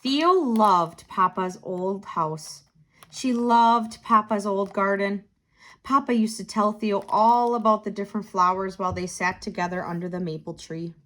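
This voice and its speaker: Woman, storybook narration, medium pitch, deliberate, expressive, tutor reading to children.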